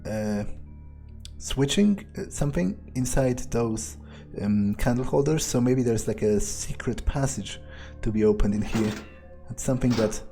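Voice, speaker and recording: A man plays a video game in character, musing aloud at an unhurried pace. His voice is soft-edged and mellow, with a sardonic, sweary streak, and music plays behind him. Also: medium pitch. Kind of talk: in-character playthrough commentary, thinking aloud.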